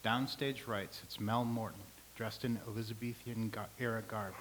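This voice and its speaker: A man reading the stage directions aloud in an even, matter-of-fact way. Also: on the low side, normal speed, rough recording. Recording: faint hiss.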